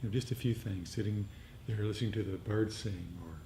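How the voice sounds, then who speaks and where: A man sharing casual reflections with a meditation group, halting and repeating small phrases as he goes. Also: mellow. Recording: noisy live recording with low rumble.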